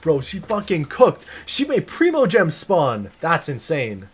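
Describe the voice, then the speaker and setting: A man's voice: fairly high pitch, wildly swooping pitch, measured pace, husky. Slangy, foul-mouthed man streaming games. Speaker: game streamer reacting to a story quest. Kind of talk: casual live reaction commentary.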